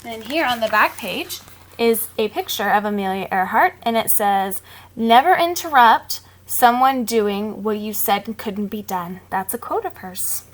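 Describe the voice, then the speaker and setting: Woman, higher-pitched voice, teacher reading storybooks to young children, expressive delivery, casual explanatory asides. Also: unhurried. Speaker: teacher reading picture books aloud.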